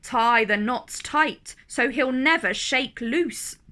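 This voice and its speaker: A woman's voice: high voice, takes it slowly, sharp tone, heavily acted. A woman voicing a bossy, jeering gang of storybook animals giving commands. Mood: jeering, menacing.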